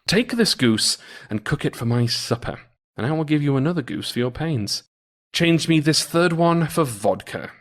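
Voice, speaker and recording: Male reader as confident folktale soldier, cocky and declarative, lively intonation. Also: on the high side, moderate pace, close to an everyday voice. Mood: commanding. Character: bold, cocky discharged Russian soldier.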